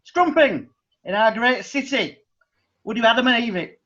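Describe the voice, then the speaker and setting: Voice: medium pitch, animated, normal speed, bright, forceful tone. Actor as an exasperated magistrate, radio drama, dull lo-fi sound. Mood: wry. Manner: wry, exasperated, colloquial. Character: wry, impatient magistrate.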